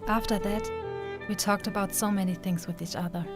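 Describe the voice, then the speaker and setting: A woman playing an earnest, expressive young female trainer in an anime-style manga dub. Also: medium pitch, a little fast, close to an everyday voice. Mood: reflective. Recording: music under the voice. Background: background music.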